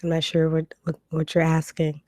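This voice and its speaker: A woman hosts a casual livestream, her voice a bit low and hoarse from a cold. She reads out viewer comments and answers them in a loose, conversational way, filling pauses with ums. The sound is dry and close, with no room echo. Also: completely flat delivery, darkish tone. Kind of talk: casual live stream chat.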